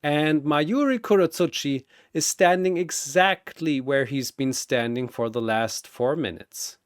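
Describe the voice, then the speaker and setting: A man's voice: fairly high pitch, a little slow, no room sound, clean studio sound. A man telling a scripted battle story in a theatrical, intense and emphatic narrator's style. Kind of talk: dramatic scripted fight narration.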